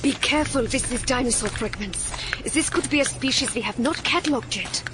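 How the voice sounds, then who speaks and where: A woman's voice: high voice, a little fast, background noise, natural voice. A woman playing an earnest, protective archaeologist in an audio drama, urgent and precise. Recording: music behind the voice.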